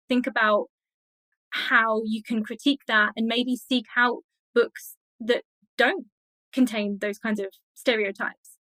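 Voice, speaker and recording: A woman giving measured, explanatory answers as an academic guest in a thoughtful interview. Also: fairly high pitch, a bit bright, no echo, low-quality recording. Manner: measured, explanatory, uses everyday examples.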